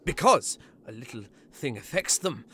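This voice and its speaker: An actor playing a frightened, stubborn old miser in an audio drama, querulous, stammering and gasping. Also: male voice, mid-range voice, expressive, moderate pace, pushed and bright, a little hiss or hum. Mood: nervous scepticism. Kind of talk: theatrical audio drama acting.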